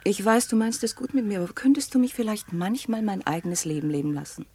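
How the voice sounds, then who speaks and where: An actress as an independent young woman in a radio crime play. She speaks at a middling pitch, quietly stubborn, with distinct scene noise around her. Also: quick pace, natural voice. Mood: guarded, stubborn. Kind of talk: radio crime drama dialogue.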